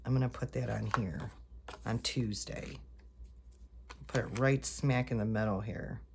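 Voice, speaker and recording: A woman's voice: a bass voice, fairly even intonation, a little slow, vocal fry, a little hiss or hum. A woman rambling casually to her viewers as she decorates a planner, correcting herself as she goes, her voice congested and a little off. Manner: chatty, self-correcting, talks to viewers while working. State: congested, voice a bit off. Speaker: planner vlogger. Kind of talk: casual rambling craft chat.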